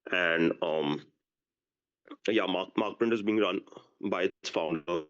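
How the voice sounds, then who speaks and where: A man speaking as a company executive in unscripted business Q&A, halting and often restarting his phrases, on a conference call. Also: low-pitched, fairly bright tone. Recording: dull, lo-fi conference call audio.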